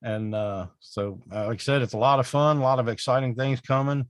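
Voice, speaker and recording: A man co-hosting a podcast in casual chat, with a raspy voice, sounding worn out and rambling with lots of fillers. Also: mid-range voice, fairly even intonation, brisk, dry recording, clean studio sound. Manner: rambling, full of "you know" fillers. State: exhausted, worn out. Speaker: podcast co-host.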